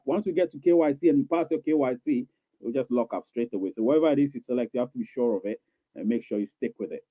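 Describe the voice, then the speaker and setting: A man's voice: fairly high pitch, no echo. Man on a tinny live stream. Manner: rambling, repeats himself, many fillers; reassuring, coaxing. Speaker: livestream host reading viewer questions. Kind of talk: casual live-stream chat. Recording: tinny phone-like dry sound.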